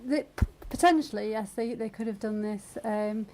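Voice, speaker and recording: A woman explaining things in a measured, tentative way as a guest in a radio interview. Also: medium pitch, a little mellow, a little hiss or hum, rough recording. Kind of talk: conversational radio interview answers.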